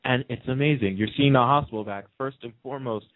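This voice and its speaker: A man's voice: medium pitch, quick pace, no echo, narrow, tinny audio. A man speaks over a thin, band-limited phone connection with very little room sound. He chats casually as a call-in guest. His delivery is emphatic and opinionated, and he repeats phrases to press his point. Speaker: call-in guest on a radio show. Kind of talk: casual soap opera fan discussion.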